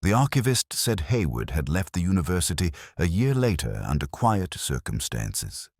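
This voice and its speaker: Very deep, brooding detective narrator played by a man. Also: big pitch swings, darkish tone, no room sound, clean studio sound, exaggerated voice. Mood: brooding, reflective. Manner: measured, literary, reflective. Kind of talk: scripted first-person detective narration, audio drama.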